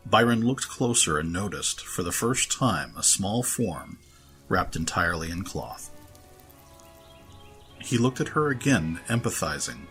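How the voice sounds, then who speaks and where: Very deep-voiced male story narrator. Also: very animated, a little slow, a bit bright, soft background music. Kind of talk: scripted fantasy story narration.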